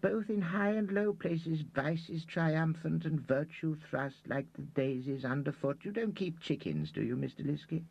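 A man voicing a timid, fussy older gentleman who talks in a hesitant, prim, preachy way. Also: on the high side, lively intonation, a little slow, creaky, hardly any pauses, husky, no echo, highs cut off, voice pushed up, exaggerated voice.

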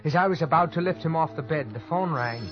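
Man acting panicked young man, radio suspense narrator, higher-pitched, tense, stammering, muffled old recording. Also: fast, lightly acted. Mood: tense narrating. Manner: tense, stammering when pressed. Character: panicked young man narrating his own desperate cover-up. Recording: dull old radio recording, loud music.

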